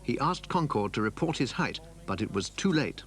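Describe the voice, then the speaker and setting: A man's voice: mid-range voice, sing-song, moderate pace, noisy background. A man reading scripted narration for a documentary.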